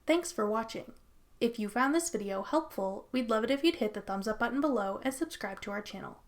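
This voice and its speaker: Woman doing a scripted how-to voiceover, voice kinda on the high side. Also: expressive, fairly quick. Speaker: tutorial host.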